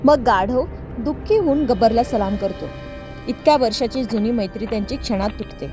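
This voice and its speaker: Female storyteller for children's tales, higher-pitched voice, light music underneath. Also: normal speed. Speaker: narrator. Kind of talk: animated children's moral story narration.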